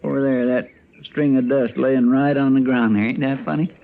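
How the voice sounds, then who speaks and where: A man's voice: medium pitch, fairly even intonation, normal speed, vocal fry, close to an everyday voice. A man with a rural American drawl playing a folksy, plainspoken, eager young sidekick in an old-time radio western. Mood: curious. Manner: plainspoken, homespun, deferential. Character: folksy, hungry, grumbling young frontier sidekick, eager and simple. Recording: tinny, noisy old radio recording.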